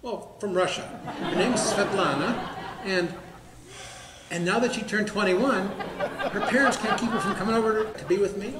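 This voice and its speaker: A man's voice: high voice, normal speed, long pauses, a loud crowd, natural voice. A man playing a gullible, lovestruck, stubborn bar regular in a stage comedy, talking in a folksy, earnest, defensive way. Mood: defensive, hopeful. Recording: echoey room with background noise.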